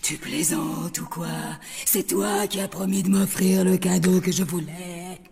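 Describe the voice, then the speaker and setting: A woman playing a sinister, scheming cartoon villainess, demanding and coaxing, with a gravelly voice. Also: lowish voice, steady tone, measured pace, crowd noise. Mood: menacing. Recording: long cavernous reverb, faint music.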